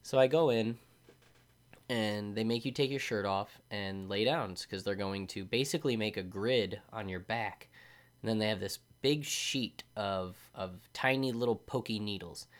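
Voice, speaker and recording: Drowsy guy doing a solo podcast, lowish voice, rambles and restarts a lot. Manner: sleepy, rambling, self-interrupting. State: drowsy from medication. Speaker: podcast host. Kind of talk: casual solo podcast monologue.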